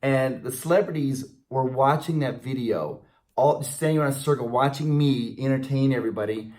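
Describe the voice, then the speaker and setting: A middle-aged man co-hosting a casual fan question chat, telling stories in an animated way. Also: mid-range voice, moderate pace, poor audio. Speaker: co-host of a theme park fan channel. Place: small indoor room, in front of a banner.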